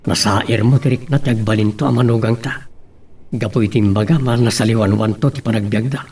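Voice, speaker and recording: A man's voice: lowish voice, fairly even intonation, brisk, a little mellow, slightly rough. A man playing a middle-aged, plain-spoken father in a radio-style drama, with an Ilocano accent. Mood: concerned.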